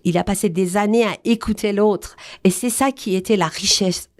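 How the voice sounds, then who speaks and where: A woman from Iran speaks as a radio guest, earnest and warm about her subject. She halts often and restarts her phrases. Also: mid-range voice, steady tone, moderate pace. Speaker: guest, festival organizer. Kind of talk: radio interview.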